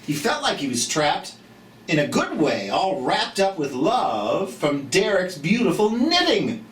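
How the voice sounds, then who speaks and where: A storyteller of unclear gender hosting a children's storytime, theatrical and expressive, speaking gently and encouragingly straight to young viewers with drawn-out emphasis. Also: mid-range voice. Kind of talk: animated children's read-aloud and gentle chat to young viewers. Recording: roomy echo, distant mic, light noise.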